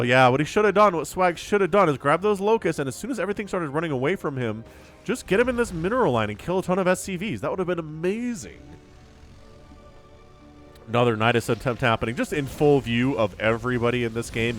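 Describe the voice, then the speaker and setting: A man giving casual, chatty live commentary on a video game as a shoutcaster, a bit sniffly with a cold. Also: fairly high pitch. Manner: chatty, informal, slangy asides. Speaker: esports shoutcaster. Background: music.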